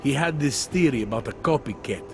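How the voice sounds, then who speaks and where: A man's voice: medium pitch, expressive, brisk, background noise, close to an everyday voice. A man acting a world-weary, middle-aged police detective in a video game, with a hesitant, wry, conversational delivery. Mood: pensive, hesitant. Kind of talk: video game cutscene dialogue.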